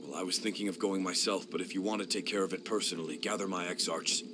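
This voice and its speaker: A man voicing a grave, watchful lord commander in a game cutscene, speaking in a deliberate way. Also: low-pitched, steady tone, noisy background, lightly acted.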